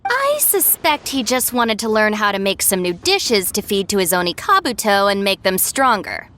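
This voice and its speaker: A sensible young woman in an anime game, a dryly exasperated deputy. Her pitch sits mid-range but moves expressively as she explains things in articulate detail. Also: big pitch swings, normal speed, fairly bright tone, slight background noise. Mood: measured, approving. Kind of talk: anime-style video game voice acting.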